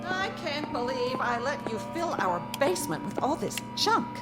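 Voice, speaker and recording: A scornful wife, needles and mocks in a fairly high voice that swoops with sarcasm. The delivery is cutting radio drama, with music clearly playing behind it. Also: female voice, big pitch swings, moderate pace. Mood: contemptuous.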